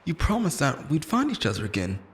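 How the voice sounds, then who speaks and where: A man's voice: fairly high pitch, huge pitch swings, a little fast. A man playing an obsessive, lonely immortal lover in an intimate, theatrical roleplay monologue, pleading and possessive, moving between tenderness and menace. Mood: insistent, coaxing.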